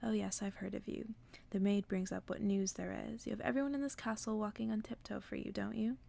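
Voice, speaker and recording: A woman voicing a blunt, teasing old woman in a softly read storybook tale. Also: mid-range voice, steady tone, fairly quick, creaky. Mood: scolding, insistent. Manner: brusque, teasing, scolding. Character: mocking, blunt old spinster woman in a tower.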